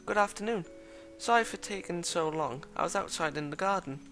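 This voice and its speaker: A man voicing a soft-spoken, polite young woman. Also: high voice, faint music behind, voice pushed up. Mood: gentle. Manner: soft, courteous, measured.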